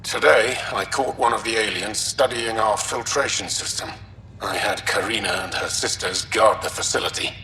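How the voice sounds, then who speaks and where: Low, gravelly, wary alien settler. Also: male voice, moderate pace, bright, forceful tone. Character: wary, scornful male alien settler recording a log. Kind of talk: in-game audio log narration. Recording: roomy, distant log playback, faint noise.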